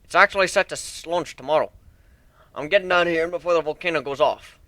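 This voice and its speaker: Here is a boastful minotaur airship captain, played by a man reading a story aloud, hearty and brash. The voice is raised and forceful, with folksy salesman patter and a bright edge. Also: a little high, a little fast, no echo, pitched above the performer's own voice, close to an everyday voice. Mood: proud. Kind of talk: dramatic fan fiction reading.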